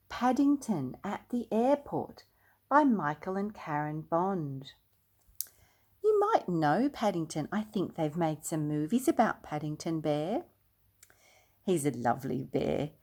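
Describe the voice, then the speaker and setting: An older woman reads aloud to young children. Her delivery is slow and expressive with lively swings in pitch, and she pauses for simple asides and questions. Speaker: children's story reader. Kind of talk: storytime read-aloud with asides to young listeners.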